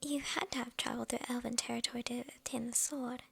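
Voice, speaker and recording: A woman voicing a sly, flirtatious witch in an ASMR fantasy roleplay, teasing in a soft, breathy whisper. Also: on the high side, fairly even intonation, exaggerated voice. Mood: gossipy, coaxing. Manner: slow, teasing, with soft chuckles.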